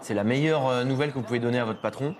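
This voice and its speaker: A man's voice: mid-range voice, rapid-fire, a little hiss or hum. A man giving live motorsport commentary in a chatty way, with many fillers and restarts. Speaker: motorsport commentator tied to a team.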